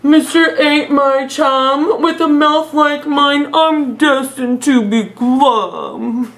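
Woman putting on a slow, glum storybook fish. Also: high-pitched, exaggerated voice. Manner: slow, drawn-out, mournful delivery. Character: glum, gloomy, mopey male pouting fish. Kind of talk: children's picture-book character voice.

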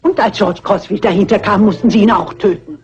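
An actress playing a shrewd, composed elderly spinster detective, deliberate and dryly polite, in a dubbed crime film. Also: female voice, medium pitch, darkish tone, lightly acted. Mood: accusing, composed. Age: older. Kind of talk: 1960s crime film dub. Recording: muffled old film soundtrack with music.

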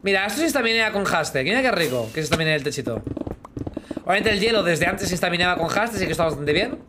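A man's voice: sits high, lively intonation, quick pace, background noise. A man in his thirties with a Spanish accent from Spain, chatting and joking with viewers on a live game stream, humming sound effects while he plays, on a headset in a small room. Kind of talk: casual live gaming chat.

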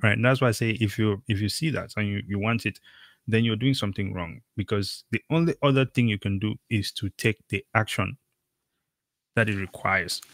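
A man's voice: fairly low pitch, a little fast, a bit bright, no echo. A middle-aged man from Cameroon hosting an interview over a video call, talking casually and emphatically with many fillers. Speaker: podcast host.

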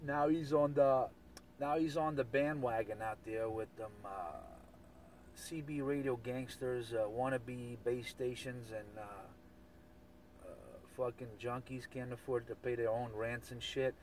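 A man's voice: mid-range voice, fairly even intonation, unhurried, a little mellow. A man chatting casually over CB radio, often repeating himself. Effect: CB radio transmission. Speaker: CB radio operator. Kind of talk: casual chat with repetitions. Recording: distant CB radio with light noise.

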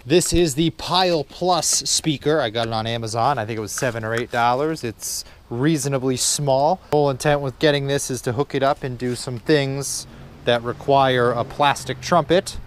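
Clear-voiced man, dryly sarcastic and casual. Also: mid-range voice, normal speed. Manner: sarcastic, dry humour, casual swearing. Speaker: vlogger doing a car installation. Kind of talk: casual hands-on vlog commentary. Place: in and around a parked car in a driveway.

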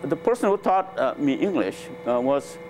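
An older man from Nepal, a native Nepali speaker, recounting his life in a relaxed, hesitant way with many fillers, on a lapel mic in a lecture room. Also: fairly high pitch, normal speed, slight background noise. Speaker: interviewed scientist. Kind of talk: relaxed conversational storytelling. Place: lecture room with a small audience.

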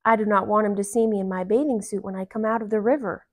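A woman's voice: medium pitch, fairly even intonation, quick pace, natural voice. A woman voicing a bashful, grumbly male toad in a storybook, with emphatic complaining delivery. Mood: self-conscious. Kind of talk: storybook read-aloud.

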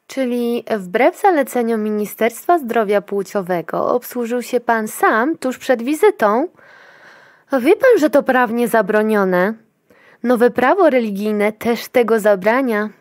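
An actress playing a brusque, officious clinic attendant in a dystopian future audio drama, clinical and commanding. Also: female voice, a little high, expressive, slow pace, no room sound, studio quality. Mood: stern, officious.

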